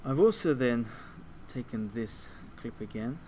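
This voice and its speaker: A man giving an informal software tutorial, explaining things step by step like a teacher. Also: mid-range voice, lively intonation, unhurried, a little hiss or hum. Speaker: music technology teacher.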